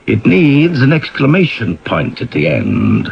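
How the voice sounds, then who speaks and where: An actor playing a brisk, demanding male newspaper editor in a 1970s radio drama. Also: medium pitch, huge pitch swings, gravelly, breathy edge, full character voice. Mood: brisk, probing. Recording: dull vintage radio recording.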